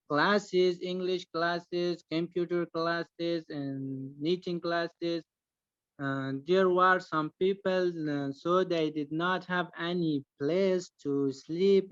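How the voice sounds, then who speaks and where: Male panelist; slow, hesitant, clean dry voice on a dull call line. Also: a little high, a bit bright, clean tone, dry recording. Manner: halting, frequent filler pauses. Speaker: panelist, former refugee teacher. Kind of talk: answering panel questions.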